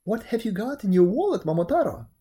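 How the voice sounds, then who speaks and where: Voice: mid-range voice, big pitch swings, quick pace, mellow, breathy edge, voice pushed up. A storyteller voicing a bold, curious pheasant in a storybook tale, with a calling, inquisitive manner. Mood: eager, calling. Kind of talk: animated storybook character voice.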